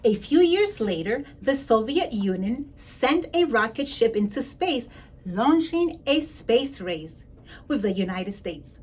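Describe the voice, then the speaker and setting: A woman with a fairly high voice reads a picture book to children. Her pitch swings in a lively way and she takes her time at a slow pace. She stresses key words and talks straight to her young listeners. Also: breathy edge. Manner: emphatic, addresses young listeners directly, explains words. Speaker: school principal reading a picture book to children. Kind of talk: expressive children's story read-aloud.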